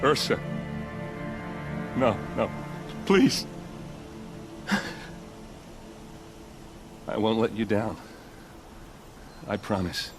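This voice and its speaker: Young warrior, loyal younger brother: low voice, earnest, grief-struck, game drama. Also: male voice, expressive, music in the background. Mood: grieving, pleading. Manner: halting, pleading, stammering.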